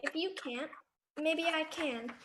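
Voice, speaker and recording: A woman's voice: sits high, takes it slowly, fairly bright tone, a little hiss or hum. A woman playing an earnest, inquisitive little girl with a direct, innocent manner in a live radio drama. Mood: earnest, hopeful.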